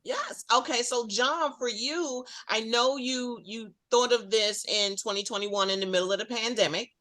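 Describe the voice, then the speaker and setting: A woman's voice: fairly high pitch, moderate pace, pushed and bright. A woman hosting a casual interview over a video call, giving encouraging short responses. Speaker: interview host.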